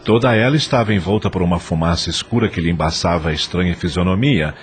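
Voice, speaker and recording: A man's voice: low voice, fast. A man reading scripted narration for a dramatized audio novel.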